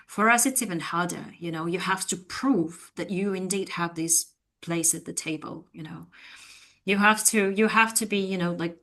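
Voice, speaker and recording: Lively woman, lowish voice. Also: normal speed. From Russia. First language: Russian. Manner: animated, laughs often, many "you know" fillers. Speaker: guest, language trainer and communication coach. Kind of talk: casual podcast conversation.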